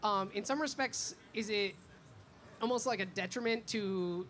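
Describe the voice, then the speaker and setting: A woman's voice: medium pitch, unhurried, a bit bright. Casual woman interviewer, faint background noise. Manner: hesitant phrasing, frequent "like". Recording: noisy convention event background.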